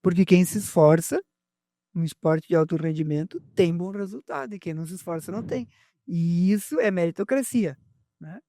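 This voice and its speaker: A man's voice: sits high, moderate pace, dark tone, no room sound. Hesitant man, dry sound. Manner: hesitant, many fillers and restarts. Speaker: podcast co-host. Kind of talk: casual conversation.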